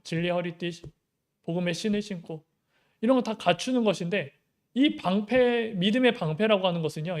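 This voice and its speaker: Male pastor, young adult, high-pitched, chatty sermon style with rhetorical questions. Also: darkish tone. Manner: conversational preaching with many rhetorical questions.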